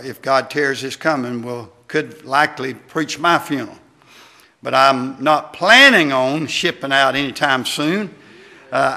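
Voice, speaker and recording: A man preaches a sermon in a folksy, halting way. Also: fairly high pitch, vocal fry, sharp tone. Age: 60s. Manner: folksy, halting delivery with amens. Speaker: visiting preacher, former pastor. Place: church sanctuary at a wooden pulpit.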